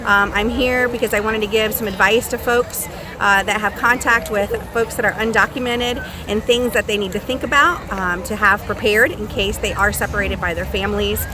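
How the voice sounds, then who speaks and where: A middle-aged woman from Puerto Rico, an attorney, speaking plainly and earnestly, with pauses and fillers. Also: mid-range voice, fairly even intonation. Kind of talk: rally speech and interview. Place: outdoor street rally. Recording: outdoor rally with street noise.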